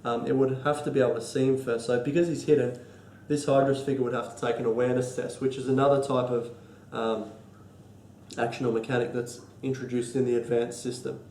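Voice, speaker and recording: An Australian man with a cold and a stuffy nose, explaining board game rules in a halting, casual way full of ums and restarts. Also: mid-range voice, level tone, a little mellow, roomy sound, a little hiss or hum. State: congested from a cold. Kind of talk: casual tabletop rules walkthrough.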